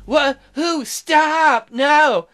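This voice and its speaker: Man voicing a high-voiced, dazed young woman diver. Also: very slow, fairly bright tone, exaggerated voice. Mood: panicked.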